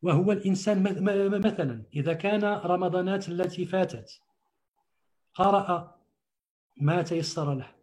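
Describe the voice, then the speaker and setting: Earnest man, higher voice. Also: fast talker, a little mellow. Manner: earnest, repeats words for emphasis, restarts often. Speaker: religious teacher. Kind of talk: religious talk answering questions.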